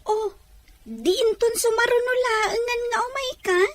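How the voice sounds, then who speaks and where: Woman doing a sweet inquisitive young girl, super high voice, radio drama style. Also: unhurried, dry recording. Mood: curious, concerned. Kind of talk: Ilocano audio drama acting.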